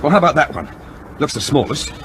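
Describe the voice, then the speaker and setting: A man's voice: on the high side, sing-song, quick pace. An actor in a radio drama playing an anxious submariner who urges his comrade on with clipped, urgent questions. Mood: questioning. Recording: noisy, constant background ambience.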